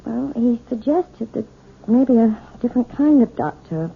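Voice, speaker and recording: A woman's voice: on the high side, animated, measured pace, gravelly, breathy edge, narrow, tinny audio, heavily acted. A woman playing a timid, nervous, apologetic wife in 1970s radio drama style, hesitant and stammering. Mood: anxious, apologetic. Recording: thin, band-limited old radio broadcast.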